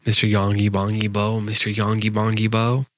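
A man voicing a sad, gentle lady in a storybook poem, with formal, plaintive sing-song phrasing. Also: on the low side, completely flat delivery, moderate pace, no echo, natural voice. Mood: apologetic. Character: sad, gentle married lady politely refusing a suitor. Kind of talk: storybook narrative poem reading.

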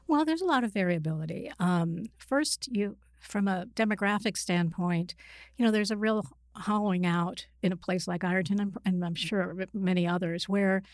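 A woman's voice: medium pitch, moderate pace, dry recording, studio quality. A middle-aged woman answering as an interview guest, conversational and thoughtful, feeling her way through explanations with self-corrections. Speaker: interview guest, psychiatrist and author.

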